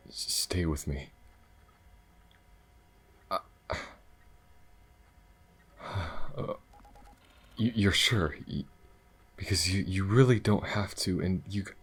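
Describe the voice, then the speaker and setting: A man playing a gentle, battle-weary alien lover in an intimate ASMR roleplay, speaking softly and haltingly with a husky, breathy voice. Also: low voice, heavily acted. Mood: hesitant, disbelieving. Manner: soft, halting, hesitant, sighing delivery.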